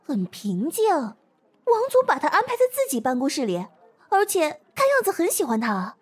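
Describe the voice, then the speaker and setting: A woman playing an excitable office gossip in a modern audio drama, mocking and conspiratorial. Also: high voice, moderate pace. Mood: gleeful gossip.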